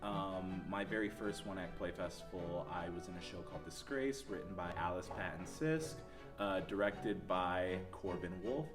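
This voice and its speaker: A man's voice: low-pitched, moderate pace. A young adult man giving interview answers as a playwright and actor. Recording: music behind the voice. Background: background music.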